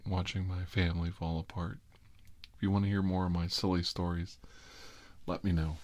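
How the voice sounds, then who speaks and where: A middle-aged man with a very low-pitched voice tells personal stories in an informal, confessional style. His delivery rambles and has a sardonic, wry edge. The sound is very dry, with hardly any room in it. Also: no echo. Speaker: ASMR host telling personal stories.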